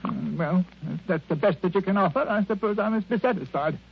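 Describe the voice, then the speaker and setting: Whiny high voiced old skinflint, feels sorry for himself, old radio play, muffled and noisy. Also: male voice, fairly quick, vocal fry. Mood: aggrieved. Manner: whining, blubbering, grumbling. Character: miserly, self-pitying old retired man betrayed by wife. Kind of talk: 1940s radio acting.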